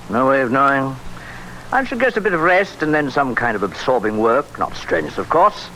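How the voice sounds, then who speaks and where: A man's voice: medium pitch, expressive, normal speed, bright, forceful tone, rough recording. A man acting in an old radio drama as a genial, matter-of-fact doctor with a British accent and clipped, conversational phrasing. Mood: advising, genial. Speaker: actor in a radio thriller. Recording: old radio recording, some noise.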